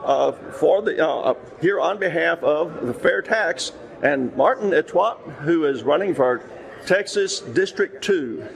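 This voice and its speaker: A man speaks with a gravelly, fry-heavy voice that sits a bit high for a man. He sounds casual and halting, pausing on "um" and "uh" as he wraps up his remarks as an author speaking for a cause. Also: expressive. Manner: halting, with fillers. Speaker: author and tax advocate.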